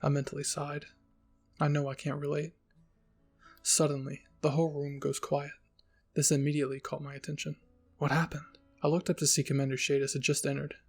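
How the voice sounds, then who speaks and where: A man's voice: on the high side, monotone, fairly quick, vocal fry, soft background music. A man narrating a first-person fan-fiction story as a roleplay reading. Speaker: story reader.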